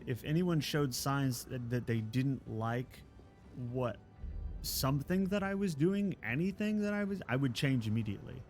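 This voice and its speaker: A man from Texas chatting casually on a live game stream, rambling and self-deprecating with frequent chuckles. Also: medium pitch. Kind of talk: casual chat while gaming.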